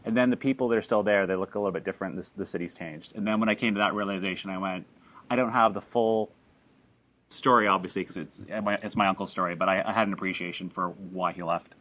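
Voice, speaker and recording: A low-voiced man talks an audience through how he made his documentary. He rambles, with restarts and fillers. The recording is dull and lo-fi. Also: steady tone, fast talker, fairly bright tone. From Canada. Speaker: filmmaker presenting his documentary. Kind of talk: talk to an audience after a screening.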